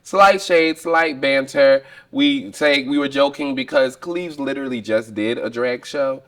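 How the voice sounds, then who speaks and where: A man's voice: mid-range voice, a little slow, a bit bright. A man, casually recounting events as a reality show cast member. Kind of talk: confessional recounting.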